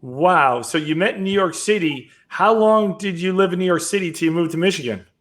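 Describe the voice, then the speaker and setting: High-pitched upbeat male host. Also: a little fast, fairly bright tone. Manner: upbeat, chatty, filler-heavy. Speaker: host, real estate business owner. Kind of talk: interview hosting.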